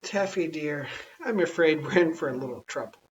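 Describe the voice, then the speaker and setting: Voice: medium pitch, expressive, normal speed, recorded from a distance, dull audio, slight background noise, natural voice. An adult storyteller voicing a beleaguered, fussy caveman father in storybook dialogue. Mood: gently worried.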